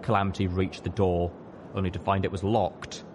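A man's voice: low voice, little pitch movement, normal speed, noisy background. A man reading fiction aloud as an audiobook narrator, in a dramatic scripted style.

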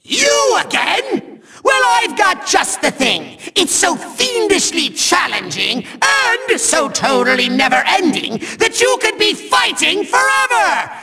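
Pint-sized game villain: pompous and tantrum-prone, sharp gravelly screech, drawn-out theatrical menace. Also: male voice, very animated, faint music behind, exaggerated voice. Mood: gloating, bellowing. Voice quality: shrill, gravelly. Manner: theatrical, grandiose, sneering, cackling. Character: short bald megalomaniac cartoon villain, pompous and tantrum-prone. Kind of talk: video game villain voice lines.

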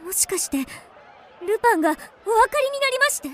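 A delicate, very high-voiced young lady. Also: very animated, fast, fairly bright tone, full character voice. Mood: anxious, curious. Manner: genteel, polite feminine speech. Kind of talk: radio drama acting.

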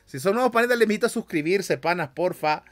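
A man's voice: sits high, lively intonation, fast. A young man with a Honduran accent, chatting casually on a livestream from a small bedroom, reading comments with jokes, slang and frequent laughs. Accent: Honduran Spanish. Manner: joking, slangy, laughs often. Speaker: streamer. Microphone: headset with desk mic.

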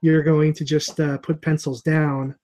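A man's voice: on the high side, level tone, unhurried, dark tone, faint music behind. A man in his thirties who owns a comic shop, hosting a casual chat over a video call from a room at home with an earphone mic. He rambles a little, with lots of fillers and restarts. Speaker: comic shop owner and host. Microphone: earphone headset on a video call.

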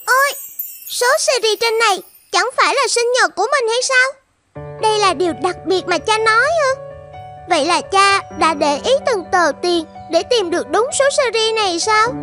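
A woman voicing an affectionate, polite young schoolgirl in a cartoon, with a childlike, expressive delivery. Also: very high voice, exaggerated voice. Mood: delighted, loving. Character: affectionate young schoolgirl who adores her father. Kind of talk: cartoon dubbing. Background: music.

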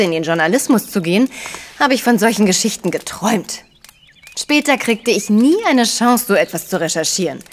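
An actress playing a frank, self-assured, caring but blunt journalist in a radio play, speaking informally and directly. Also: female voice, mid-range voice, close to an everyday voice.

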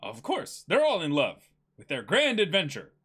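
A man in his twenties giving a theatrical, excitable dramatic reading mixed with casual banter as a co-host. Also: on the high side, moderate pace, husky. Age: 20s. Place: indoor room, seated.

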